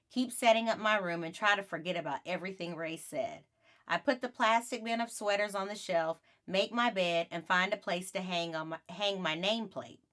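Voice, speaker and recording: A woman narrating a children's book aloud in an expressive storytelling style. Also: mid-range voice.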